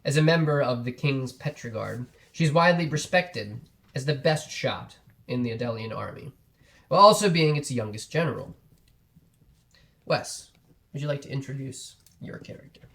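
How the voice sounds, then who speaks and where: Young male game master, animated delivery, mid-pitched, easy laughter. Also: moderate pace, gravelly. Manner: lively, laughing storyteller. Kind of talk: narrating a tabletop game.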